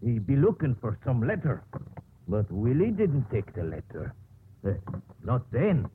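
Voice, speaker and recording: Simple devoted country man, halting, swooping pitch, noisy vintage radio recording. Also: sing-song, phone-quality sound. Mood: halting, aggrieved. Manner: halting, speaks of himself in third person. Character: simple, devoted rustic English handyman. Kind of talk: 1950s radio drama acting.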